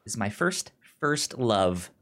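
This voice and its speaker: Slow-talking man with a pronounced stutter. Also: fairly low pitch, big pitch swings, fairly bright tone. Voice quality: pronounced stutter, repeated sounds and syllables. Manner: halting, hesitant, informal. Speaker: podcast co-host. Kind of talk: casual chat, pitching shows to a co-host.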